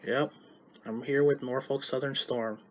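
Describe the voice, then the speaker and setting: A man hosting a home vlog about weather radio tests, talking casually and loosely with halting restarts. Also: medium pitch, fairly even intonation, a little hiss or hum. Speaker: YouTube host recording radio tests.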